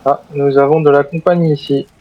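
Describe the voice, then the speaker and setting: A man role-playing a courteous zombie survivor in a game, with formal phrasing and hesitant pauses. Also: fairly high pitch, level tone, muffled recording. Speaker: video game role-player. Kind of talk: improvised in-character game chat.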